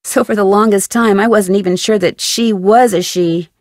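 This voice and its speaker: A woman's voice: mid-range voice, fairly even intonation, normal speed, a little mellow, no echo, studio quality, natural voice. A woman voicing a level-headed, friendly young amateur detective in a video game. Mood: explaining.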